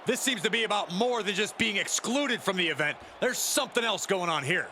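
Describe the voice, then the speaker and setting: A male wrestling commentator in a video game. He gives opinionated analysis in a high-pitched voice, set against very loud arena noise. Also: lively intonation, brisk. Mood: serious.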